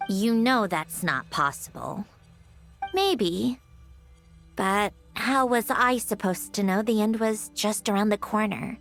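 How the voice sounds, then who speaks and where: A woman playing a logical, wistful female artificial being in a video game, speaking in a deliberate, analytical and reflective way. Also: medium pitch, expressive, fairly bright tone, soft background music. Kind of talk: video game character acting.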